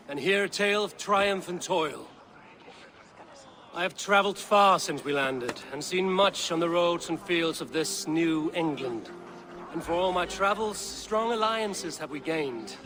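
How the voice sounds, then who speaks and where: A man playing a confident, proud Viking warrior leader in a video game, speaking in a measured, formal way. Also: on the high side, a bit bright. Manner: measured, stately, formal speech.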